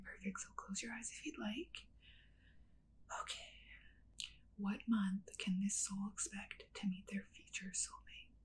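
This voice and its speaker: A woman whispers softly and close to the microphone, playing a kind witch who guides a listener through a reading. Her unvoiced whisper is gentle and soothing, and it moves at an easy pace with small "um"s between phrases. Faint room hiss sits under her voice. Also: medium pitch, poor audio. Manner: soft unvoiced whisper, gentle and reassuring, frequent "um". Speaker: ASMR roleplay host as a witch reader. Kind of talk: whispered ASMR roleplay.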